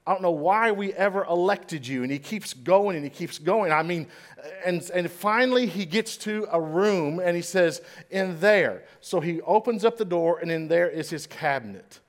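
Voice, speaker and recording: Male preacher, church stage, slightly high pitch, dramatic, loud-to-hushed swings, slight stutter. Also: expressive, moderate pace. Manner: stutters at times. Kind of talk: sermon storytelling.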